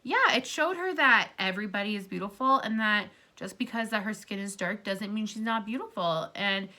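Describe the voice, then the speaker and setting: A woman with a medium-pitched voice reads a children's book aloud like a teacher. She speaks to young listeners in an encouraging manner and puts simple questions to them. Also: moderate pace, pushed and bright.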